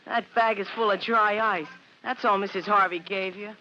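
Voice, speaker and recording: High-voiced sulky teenage boy. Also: steady tone, moderate pace, pushed and bright. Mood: indignant. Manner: indignant, grumbling. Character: sulky, exasperated fourteen-year-old boy. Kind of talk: 1950s radio drama acting. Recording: muffled, noisy old radio recording.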